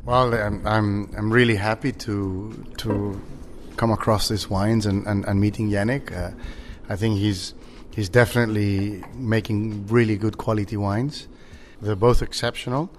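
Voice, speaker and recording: A man from Chile, a winery general manager, talking casually about wine with many halting restarts. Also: on the low side, steady tone, slight background noise. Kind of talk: casual conversation.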